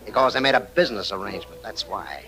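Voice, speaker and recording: Menacing western saloon keeper, American, smooth and taunting, animated delivery, vintage radio with music. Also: male voice, on the low side, fast. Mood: scornful. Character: sly, menacing saloon-owning western villain. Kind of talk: old-time radio western acting.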